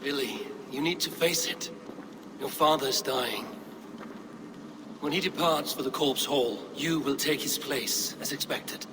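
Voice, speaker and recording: An actor playing a steady Viking clan leader with a dry, wry and firm manner. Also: male voice, medium pitch, measured pace, natural voice. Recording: game cutscene with ambient noise.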